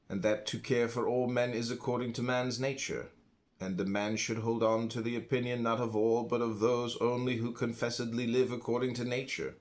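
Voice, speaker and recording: A man reading a philosophy book aloud in a solemn, deliberate way, phrase by phrase with pauses. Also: medium pitch. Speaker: audiobook reader.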